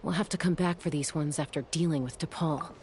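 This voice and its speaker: An actress playing a determined, composed young female adventurer in a video game, brisk and decisive. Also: low-pitched, darkish tone, slight background noise, natural voice. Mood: focused.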